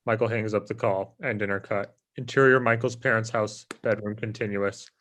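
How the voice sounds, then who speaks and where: Low-voiced man reading stage directions. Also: fairly even intonation, normal speed, a bit bright. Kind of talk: scripted narration at a table read.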